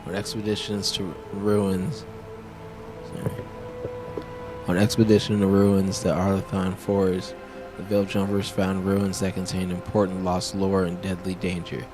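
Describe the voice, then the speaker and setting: A man's voice: sits low, monotone, measured pace. A man giving casual, informal gameplay commentary and reading on-screen text aloud, sometimes muttering to himself. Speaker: game streamer, show host. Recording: game music behind the voice. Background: video game music.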